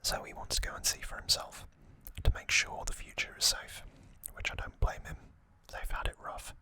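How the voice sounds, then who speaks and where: An ASMR host narrating in a breathy, unvoiced whisper, slow and unhurried, close to the microphone. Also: low-quality recording. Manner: slow, unhurried, gently repeats words for effect. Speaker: host of an ASMR trivia channel. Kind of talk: whispered ASMR narration, summarizing an anime saga.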